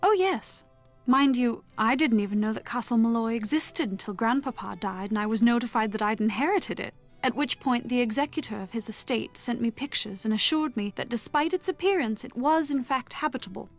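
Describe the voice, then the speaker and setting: An actress playing a well-bred young bride-to-be in a video game, talking in a proper, wordy, insistent way. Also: female voice, fairly high pitch, expressive, brisk, faint music behind. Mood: wry storytelling.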